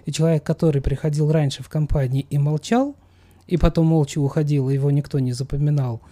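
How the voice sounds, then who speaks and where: A man's voice: on the high side, fast talker, warm tone. A man speaking as a psychologist guest in a discussion, coaching assertively with emphatic repetition. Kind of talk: conversational expert discussion.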